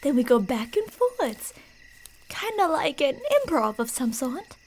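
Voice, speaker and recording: Woman voicing a creepy yandere female stalker, fake park ranger, high-pitched, falsely sweet, teasing, breathy whispering, ASMR roleplay. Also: sing-song, measured pace, slight background noise. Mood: playful, coaxing.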